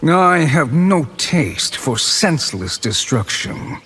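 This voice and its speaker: A man's voice: on the high side, sing-song, takes it slowly, in a large hall, heavy background noise. An actor playing a haughty male sorcerer in a video game, smug and condescending.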